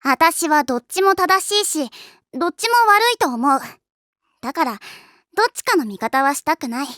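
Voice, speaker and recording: A woman voice-acting a friendly, level-headed high school girl in an anime, with an easygoing, gently frank manner. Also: lively intonation, normal speed, dry recording, clean studio sound. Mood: calm, serious.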